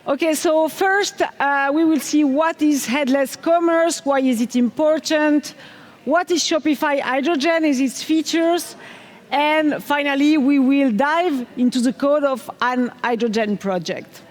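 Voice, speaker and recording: A woman's voice: high voice, unhurried. A middle-aged French woman with a French accent, giving an enthusiastic technical conference talk on stage and asking the audience questions. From France. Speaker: conference speaker. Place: large conference hall on stage. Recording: hall reverb from a big room.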